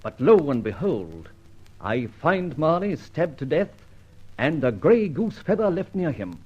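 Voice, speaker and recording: An actor playing a suave, witty British gentleman outlaw in an old radio detective drama, wordy and mock-courteous. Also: a little high, sing-song, unhurried, breathy edge, highs cut off, full character voice. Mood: wry, narrating.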